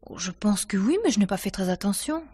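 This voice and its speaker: Poised, sardonic stage actress. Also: female voice, medium pitch, expressive, a little fast, darkish tone, breathy edge. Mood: matter-of-fact. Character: self-possessed, sardonic stage actress suspected of murder. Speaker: radio drama actor. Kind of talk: French mystery radio drama acting, 1950s manner.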